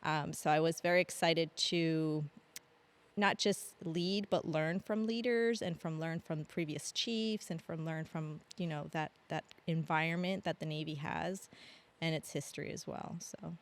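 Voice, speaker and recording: Low-voiced chatty woman guest. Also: fairly even intonation, measured pace. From grew up in Argentina. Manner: chatty, laughs often, many ums. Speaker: podcast guest, Air National Guard staff sergeant. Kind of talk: casual interview storytelling.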